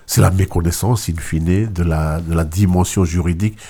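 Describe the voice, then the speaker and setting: A male interviewer with a very low, deep voice asks long, winding questions. His tone is dark and mellow. He often stops and restarts words as he builds his questions. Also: steady tone, husky. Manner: halting, restarts words, many backchannel mhms.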